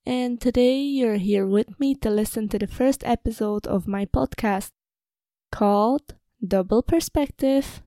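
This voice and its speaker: A woman's voice: on the high side, unhurried, dark tone, no room sound, studio quality. A young woman from the Republic, hosting a casual personal podcast in a slightly nervous, hesitant, informal manner. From Czech Republic, moved to Ireland at twelve. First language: Czech. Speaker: podcast host.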